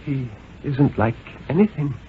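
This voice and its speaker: Small resigned boy, played by a man, quietly stubborn, on a thin, noisy vintage radio recording. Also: medium pitch, a little slow, narrow, tinny audio, close to an everyday voice. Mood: quietly defiant. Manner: hesitant, small, flat, resigned. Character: six-year-old boy, subdued, quietly stubborn, weary. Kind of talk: 1950s radio dramatic reading.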